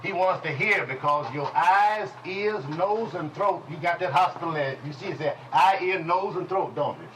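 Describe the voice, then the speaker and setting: A man teaching a congregation in a preaching style, folksy and call-and-response, with speech, dropping at times to low murmurs. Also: moderate pace, hardly any pauses, fairly bright tone, a little hiss or hum, rough recording. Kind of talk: preaching Bible lesson. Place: congregation hall.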